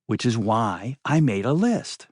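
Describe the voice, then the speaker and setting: A man plays a bossy ex-girlfriend in an audiobook. She lectures with great emphasis and exasperation, her pitch raised and swinging widely. The recording is dry and close. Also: sing-song, measured pace, darkish tone, breathy edge, no echo. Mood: bossy, exasperated. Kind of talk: audiobook character voice.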